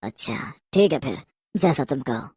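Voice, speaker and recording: A woman's voice: rumbling low, expressive, normal speed, darkish tone, husky, no echo, clean studio sound, exaggerated voice. A woman voicing a greedy, guilty man turned reluctant, grumbling ghost in a horror cartoon story. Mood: resigned, grumbling. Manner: nervous, protesting, then grudgingly obedient.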